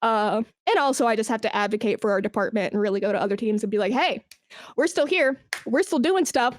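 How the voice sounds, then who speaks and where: Woman, higher pitch, chatty, enthusiastic, emphatic, casual podcast guest, dry close sound. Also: expressive, quick pace, dry recording. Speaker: podcast guest, esports brand manager. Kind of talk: casual interview conversation.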